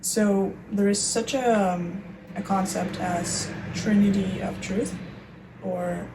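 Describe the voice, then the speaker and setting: Woman, young adult, reflective solo talk, searching for words, mid-pitched voice, faint background hiss. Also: steady tone, takes it slowly. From Russia. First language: Russian. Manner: thoughtful, searching for words, many fillers. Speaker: podcast host.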